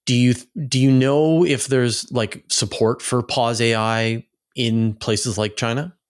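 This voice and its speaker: A man's voice: medium pitch, steady tone, measured pace, clear, resonant tone. A man hosting a casual interview, feeling his way through long, thoughtful questions. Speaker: host, interviewer.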